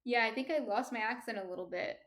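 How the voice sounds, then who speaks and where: Soft-spoken woman with a New Jersey accent. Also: on the high side, fast. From New Jersey, USA. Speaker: streamer. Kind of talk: casual chat reading viewer comments.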